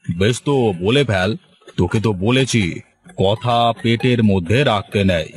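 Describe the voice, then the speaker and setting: A man's voice: fairly low pitch, unhurried, close to an everyday voice. A man voicing a familiar, teasing older village man in a cartoon story. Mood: familiar, probing. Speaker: cartoon character voice. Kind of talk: Bengali cartoon story dialogue.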